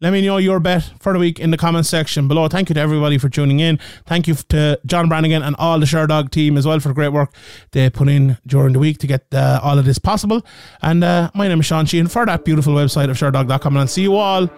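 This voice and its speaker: Irish man, host, casual betting chat, thinks aloud, dry close sound, somewhat high voice. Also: steady tone, fast talker, darkish tone, smooth, no room sound. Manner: thinking aloud, checking odds as he goes. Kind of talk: casual betting picks talk.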